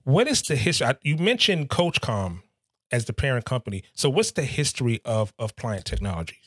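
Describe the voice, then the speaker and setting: A man's voice: mid-range voice, big pitch swings, brisk, a bit bright, husky, dry recording, studio quality. A man hosting a podcast interview and talking with guests. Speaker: podcast host.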